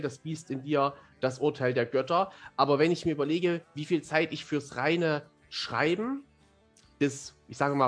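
Man in his thirties, slightly high voice, talkative and explanatory, conversational interview style. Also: a bit bright. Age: 30s. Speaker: fantasy author, podcast guest.

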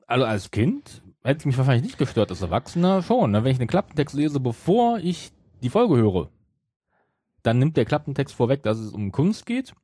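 A man's voice: mid-range voice, very animated, fairly quick, warm tone, husky, dry recording, clean studio sound. A middle-aged man in casual podcast talk, often hesitating and correcting himself as he retells things. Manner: hesitant, self-correcting, many broken-off restarts. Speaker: podcast co-host.

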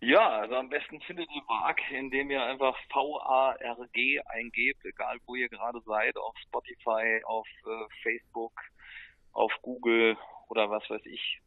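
A man with a medium-pitched voice chats as a radio guest. He is laid-back and colloquial, and the studio sound is dry and a little dull. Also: steady tone, normal speed, dry recording. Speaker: musician interview guest. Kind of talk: casual chat, spontaneous answers.

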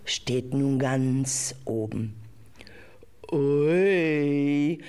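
A woman narrating a children's audio play as an expressive storyteller, with exclamations and questions put to the listener. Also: extremely low pitch. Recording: loud music behind the voice. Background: music.

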